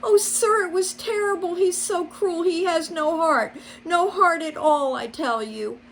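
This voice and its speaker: A timid, kind rabbit lady, put on by a woman, pleading in a high, fretful voice. Her voice lifts well above the reader's own and swings widely. She sounds soft-hearted and anxious. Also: sing-song, very slow, a little hiss or hum, heavily acted. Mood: distraught. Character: timid kind female rabbit shop helper.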